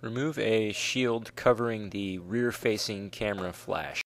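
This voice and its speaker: A man's voice: fairly low pitch, unhurried, no echo. A man reads scripted step-by-step instructions as the narrator of a repair tutorial.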